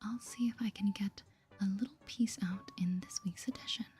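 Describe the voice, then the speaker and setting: Woman doing a puffed-up flattering newspaper guy from a game, whispered, music behind. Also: medium pitch, normal speed, natural voice. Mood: self-important. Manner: grandiose, smooth-talking. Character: proud, flattering small-town newspaperman.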